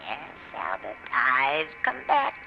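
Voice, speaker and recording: A woman's voice: a bass voice, wildly swooping pitch, gravelly, exaggerated voice. An actress playing the menacing, haunting voice of a returned dead woman in a 1940s radio drama. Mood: coldly menacing. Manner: slow, haunting. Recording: muffled old radio recording with music.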